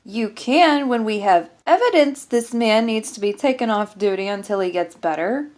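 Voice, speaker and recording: A woman's voice: fairly high pitch, expressive, normal speed, a little mellow, pitched above the performer's own voice, close to an everyday voice. Woman reading as a blunt, harried doctor. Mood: urgent, commanding. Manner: blunt, urgent. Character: harried male station doctor, blunt and take-charge.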